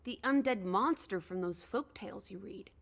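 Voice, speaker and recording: An actress playing a spirited, inquisitive, imaginative young female companion in a video game, expressive, playful and probing. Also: mid-range voice, moderate pace, gravelly. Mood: curious. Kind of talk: scripted game dialogue.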